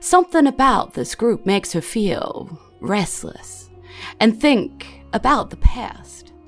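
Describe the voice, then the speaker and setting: A woman with a New Zealand accent and a creaky voice, narrating a tabletop roleplaying game as game master. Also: on the high side, animated, slow. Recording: music under the voice.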